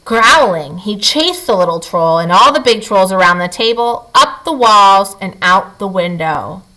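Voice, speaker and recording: A woman teacher reading to children and guiding them through a lesson, expressive and speaking to them directly. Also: mid-range voice, measured pace, rough recording. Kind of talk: children's read-aloud and lesson.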